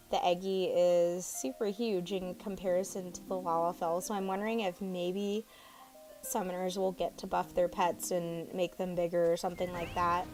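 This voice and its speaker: Raspy, quiet woman with a sore throat. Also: fairly low pitch. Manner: chatty, easygoing, a bit quiet. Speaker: gaming YouTuber. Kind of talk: casual gameplay voice-over commentary. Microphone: home voice-over mic. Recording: voice-over with game music behind.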